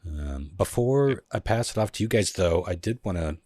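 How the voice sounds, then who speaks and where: Male podcast host, low husky voice, loose profane chat, dry close mic. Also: moderate pace, no echo. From Pacific Northwest of the US. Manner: relaxed, swearing often. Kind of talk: casual chat.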